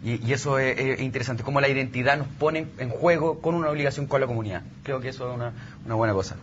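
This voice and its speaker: A man's voice: medium pitch, fast talker, a bit bright. A man with a Chilean accent discussing ideas on a panel in academic wording, often checking with small tag questions. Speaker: youth panelist. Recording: dull sound with faint background noise.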